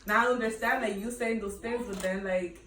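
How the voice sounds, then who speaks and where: A woman's voice: fairly high pitch, steady tone, a little fast. A woman from the Dominican Republic with a Dominican Spanish accent, chatting at a book club, rambling with many fillers and frequent laughs. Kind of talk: casual book discussion. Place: bookshop event room. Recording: echoey room, distant mic, background noise.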